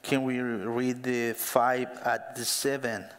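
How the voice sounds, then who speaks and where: A man in his thirties preaching a sermon as a pastor, speaking as an in a slow, emphatic way that builds to louder calls to action. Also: medium pitch, level tone, clean tone. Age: 30s. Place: church hall. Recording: long hall reverb.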